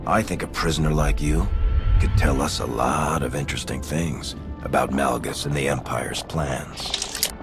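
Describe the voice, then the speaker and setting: Game colonist: low-voiced, sincere, careful farmer, measured delivery, prominent music bed. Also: male voice. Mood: earnest, wary. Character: earnest, cautious male colony farmer in space fantasy. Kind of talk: scripted video game acting.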